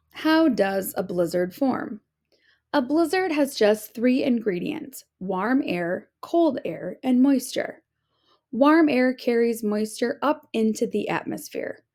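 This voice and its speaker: A woman reading an informational children's book aloud like a teacher, with clear, deliberate phrasing. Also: fairly high pitch, warm tone.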